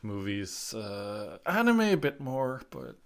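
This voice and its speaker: A man co-hosting a casual film podcast, halting and full of restarts, laughing often. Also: on the low side, big pitch swings, creaky, clean tone. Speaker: podcast co-host.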